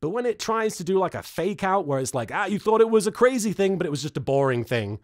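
Male British vlog host, thirties, wry and exasperated, lively high-pitched delivery. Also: fast. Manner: sarcastic, exasperated. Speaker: host, episode reviewer. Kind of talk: comedic recap and commentary to camera.

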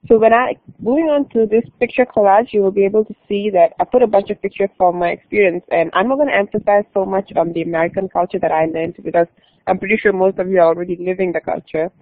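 A woman's voice: mid-range voice, steady tone, fast, no echo. A young woman from Malaysia giving a casual online presentation about her exchange year, often saying "like" and restarting phrases. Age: young adult. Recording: tinny phone-like online call audio.

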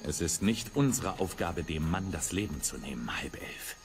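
Low, half-whispering sinister mage. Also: male voice, animated, normal speed, noisy background. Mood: cold, grave. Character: sinister, quietly solemn hooded male mage. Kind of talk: dramatic fantasy audio drama acting. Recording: hall reverb with loud music.

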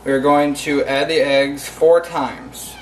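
A teenage male giving step-by-step cooking instructions in a halting, recited way in a home kitchen. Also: a little high, fairly even intonation. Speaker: cooking video presenter. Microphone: camera mic at a distance. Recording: distant camera mic, roomy, noisy background.

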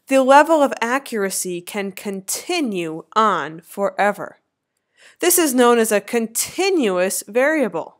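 A woman in her thirties narrating a slideshow lecture as an instructor, with clear, lively teacherly emphasis. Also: a little high. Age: 30s.

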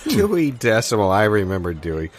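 A man performing improvised comedy, deadpan and unhurried, pausing between phrases. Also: fairly low pitch. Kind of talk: improvised deadpan comic monologue and banter.

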